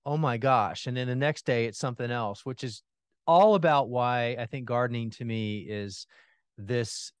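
A man as a podcast guest, talking about gardening with enthusiasm and animated energy, laughing now and then. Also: medium pitch, clear, resonant tone, no room sound. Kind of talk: casual interview chat. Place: home in Atlanta, remote call.

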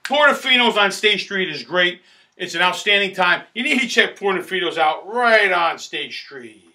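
A middle-aged man, hosting a restaurant review to camera in a loud, boisterous, salesman-like way that keeps repeating itself. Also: high-pitched, animated, moderate pace. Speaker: food critic host. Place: small indoor studio in front of a banner backdrop.

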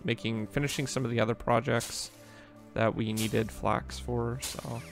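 A man hosting a casual let's play, talking in a relaxed, rambling way with lots of fillers while losing his voice. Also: medium pitch, unhurried, vocal fry, soft background music. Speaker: gaming channel host.

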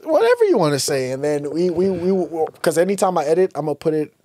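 A man's voice: a little high, big pitch swings, fast, dark tone. A young man from Ghana hosting a casual podcast chat in a studio, laughing and teasing. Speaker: podcast host.

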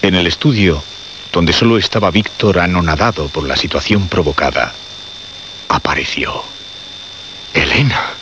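An actor playing the solemn male narrator of a radio horror tale, speaking in grave, measured, dramatic phrases. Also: deep voice, background noise, lightly acted. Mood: ominous. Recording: muffled radio recording over clear music.

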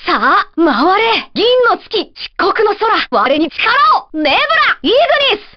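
A woman's voice: very high-pitched, very animated, unhurried, highs cut off, heavily acted. A woman voicing a smug, bookish schoolboy magician in a video game, pompous and theatrical in delivery. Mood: incantatory, confident. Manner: pompous, theatrical, clearly declaiming. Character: smug bookish schoolboy magician, brainy, snobbish, easily flustered. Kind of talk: Japanese video game character voice clips.

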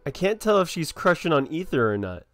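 A man's voice: a little high, big pitch swings, a little fast, a bit bright. A young man giving casual, rambling commentary as he plays through a video game. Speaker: gaming video host. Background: game music.

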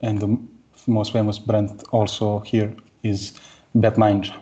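A man, giving a tech conference talk over a video call in a plain, steady way with many pauses. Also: on the low side, husky, muffled recording. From Serbia. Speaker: conference speaker. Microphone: headset or laptop mic on video call.

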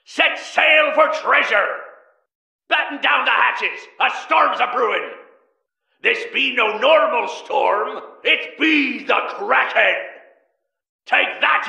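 Loud pirate fox robot, bright gravelly voice, swoops all over. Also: male voice, very high voice, far from the mic, heavily acted. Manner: boisterous pirate drawl, bellowing. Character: rowdy pirate fox animatronic, ride host. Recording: roomy game audio from afar.

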